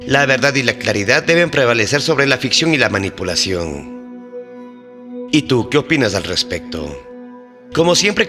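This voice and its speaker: A man narrating a scripted mystery documentary as host, in a measured, formal and dramatic storytelling manner. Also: medium pitch.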